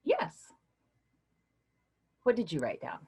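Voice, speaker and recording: A woman's voice: medium pitch, slow pace, highs cut off, a little hiss or hum, exaggerated voice. An actress playing a patient woman philosophy professor leading a class discussion in a staged play reading. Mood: teacherly. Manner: lively, questioning, teacherly.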